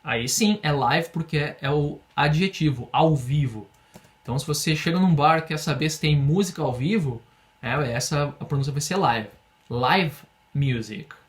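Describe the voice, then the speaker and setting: Patient Brazilian man teaching English. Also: on the high side. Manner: patient, explains slowly, drills phrases for repetition. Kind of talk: online language lesson.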